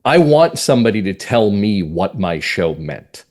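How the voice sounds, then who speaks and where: A man speaking as a writer being interviewed, reflective and earnest, setting out his views in deliberate, emphatic phrases. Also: on the low side, sing-song, a little mellow. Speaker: playwright and audio drama writer, interview guest.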